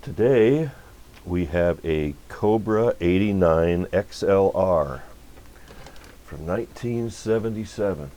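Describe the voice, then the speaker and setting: Deep, mellow-voiced man chatting casually. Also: lively intonation, slow. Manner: informal, folksy, rambling. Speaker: host of a radio repair channel. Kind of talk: casual workbench demonstration talk.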